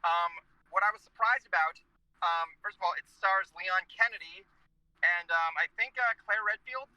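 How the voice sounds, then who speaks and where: Groggy joking man, bright dry voice. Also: high voice, moderate pace, no echo, lo-fi sound. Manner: joking, chatty, self-interrupting. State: groggy after a day of work. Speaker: talk show host. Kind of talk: rambling casual chat. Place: inside his car.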